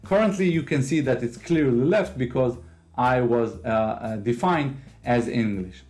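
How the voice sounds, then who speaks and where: An Israeli-accented man talks through a screen recording, explaining each step in plain, slightly non-native English at an ordinary middle pitch. Quiet music plays faintly underneath his voice. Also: measured pace. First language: Hebrew. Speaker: host of a software tutorial channel. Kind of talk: screen-recorded software walkthrough.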